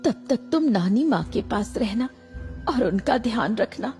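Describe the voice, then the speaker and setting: Gentle, remorseful young mother, played by a woman for cartoon horror: soft dark tone, earnest, over light music. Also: fairly high pitch, animated, measured pace. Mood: caring. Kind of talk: animated horror story acting. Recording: background music.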